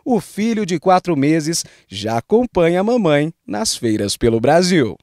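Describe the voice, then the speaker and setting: Young guy reporter, lively voice, dry close sound, outdoors at a cattle fair. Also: a little high, unhurried, dry recording. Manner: lively, clear reporter delivery. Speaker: field reporter. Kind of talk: news report narration. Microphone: handheld mic.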